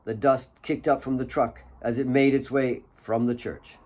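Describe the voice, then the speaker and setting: A man reading his own novel as a dramatic audiobook narrator, in a measured way with pauses between phrases. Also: medium pitch, fairly even intonation, darkish tone.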